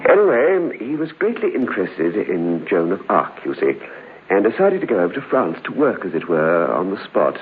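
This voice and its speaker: An actor playing a genteel gentleman who recounts a grim tale in measured tones, dropping to a hushed near-whisper for the dark parts, in a vintage radio drama. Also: low voice, big pitch swings. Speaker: storyteller character. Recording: dull hissy old radio broadcast.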